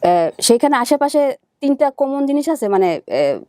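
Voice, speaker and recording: A woman with a Bangladeshi accent, a show guest, asking probing questions in casual, halting conversation. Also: high-pitched, sing-song, dark tone.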